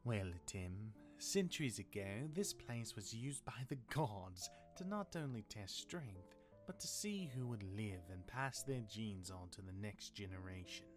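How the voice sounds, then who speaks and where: An actor playing a patient, chatty demigod elder brother and mentor in a fantasy audio drama, instructive and conversational, with music behind him. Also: male voice, fairly low pitch, very animated, a little slow. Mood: solemn. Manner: conversational, instructive, hesitant asides.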